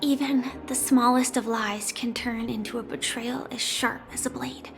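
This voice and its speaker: An actress playing a gentle young woman on the run in a video game, earnest and guarded, with a soft, measured delivery. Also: a little high, fairly even intonation, close to an everyday voice. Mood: wistful, earnest. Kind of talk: dramatic video game voice acting. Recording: clear music behind the voice.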